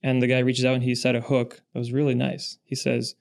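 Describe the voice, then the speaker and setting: Smooth, steady-voiced man in his thirties. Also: mid-range voice. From born in Russia, raised in the US Pacific Northwest. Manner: measured, steady storyteller. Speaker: podcast guest, industry founder. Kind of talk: casual interview chat.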